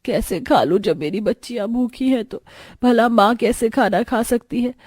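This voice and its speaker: A woman's voice: on the high side, moderate pace, darkish tone, no echo, clean studio sound, lightly acted. A woman voicing a devoted, earnest village mother of many daughters in a cartoon story. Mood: tender.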